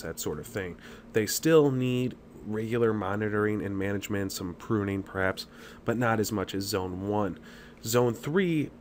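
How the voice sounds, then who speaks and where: A man with a fairly deep voice narrating a homemade online explainer over a screen recording, some faint noise in the room behind him. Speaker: channel host. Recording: clear background noise.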